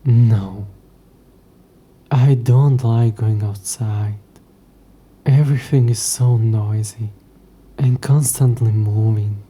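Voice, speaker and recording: Man voicing a deep, husky, haughty ancient demon. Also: fairly even intonation, takes it slowly, pauses often, mellow. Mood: disgusted grumbling. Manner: condescending, dry, archaic formal phrasing. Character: haughty possessive ancient male demon trapped in necklace. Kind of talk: scripted audio roleplay.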